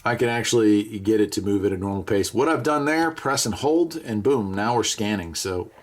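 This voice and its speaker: A man's voice: lowish voice, lively intonation, normal speed. An American man talking casually and conversationally, thinking aloud as he gives a hands-on gadget review.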